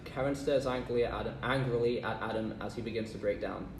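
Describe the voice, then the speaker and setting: A man's voice: medium pitch, quick pace. A man reading stage directions aloud from a script. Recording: echoey, distant room with noise.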